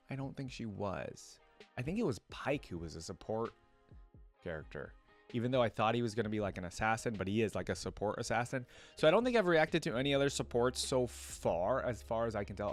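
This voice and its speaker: A man hosting a reaction video, commenting casually, reading things out and talking directly to his viewers. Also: mid-range voice. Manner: conversational, addresses viewers directly. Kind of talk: casual commentary, reading on-screen text aloud.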